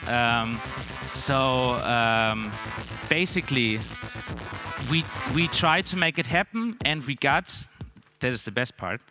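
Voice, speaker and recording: A man's voice: mid-range voice, lively intonation, slow, over music. A man in his thirties with a German accent, giving a conference talk on a large stage through a headset mic, with loose chat full of ums and restarts. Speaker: conference speaker. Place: large dark stage hall.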